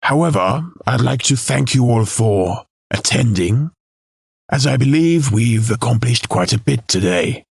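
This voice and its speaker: An actor playing a self-important, scheming noble lord in an audio drama, with formal, measured, pompous delivery. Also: male voice, medium pitch, animated, vocal fry, husky, dry recording, clean studio sound, exaggerated voice. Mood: curt, self-satisfied. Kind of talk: scripted audio drama acting.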